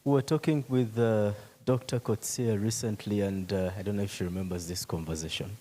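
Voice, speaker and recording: Low, mellow-voiced man chairing informally. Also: steady tone, brisk. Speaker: session chair. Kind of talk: informal conference moderating. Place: conference hall.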